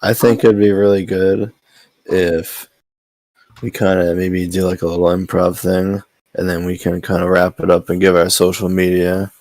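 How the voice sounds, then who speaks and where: A man's voice: low-pitched, flat delivery, normal speed, a little mellow. A man co-hosting a comedy podcast, chatting casually and telling rambling stories. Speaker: podcast co-host.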